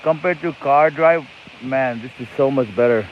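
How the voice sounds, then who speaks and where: A man's voice: medium pitch, moderate pace. A man from Nepal, chatting cheerfully and rambling while he livestreams from an e-bike outdoors on his phone. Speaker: livestream host. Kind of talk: casual livestream chat. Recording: lo-fi phone stream with loud outdoor noise.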